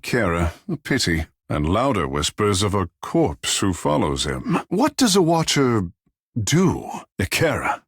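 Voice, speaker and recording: An actor playing a flamboyant, self-admiring godlike water shaper in a fantasy video game, speaking in a grandiose, playful, theatrical manner. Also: male voice, on the low side, huge pitch swings, measured pace, slightly rough, dry recording, studio quality, heavily acted. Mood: haughty, playful.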